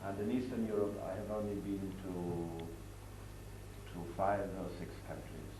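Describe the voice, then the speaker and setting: A man's voice: low-pitched, fairly even intonation. Hesitant German man, echoey studio. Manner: halting, deliberate, many hesitations. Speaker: interviewed guest, international official. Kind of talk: answering questions in a studio interview. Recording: echoey distant 1990s TV studio audio with noise.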